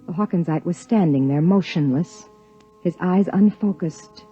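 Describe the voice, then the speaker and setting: An actress playing an anxious, probing wife who narrates a 1950s American science fiction radio play in a measured, dramatic way. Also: female voice, fairly low pitch, dark tone, husky. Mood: haunted. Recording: dull vintage radio recording with music.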